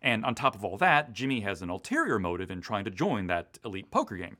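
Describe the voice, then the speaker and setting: Male writer in interview, East Coast US origin. Very animated intonation, casual, enthusiastic, prone to detailed tangents. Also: fairly low pitch, brisk. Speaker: audio drama writer being interviewed. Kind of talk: casual, detailed behind-the-scenes chat.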